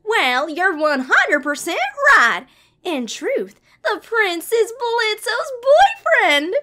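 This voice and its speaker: A woman's voice: very high voice, big pitch swings, takes it slowly, sharp tone, exaggerated voice. A woman voicing a bubbly, friendly demon imp in a cartoon audio drama, gushing and exclaiming. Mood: eager.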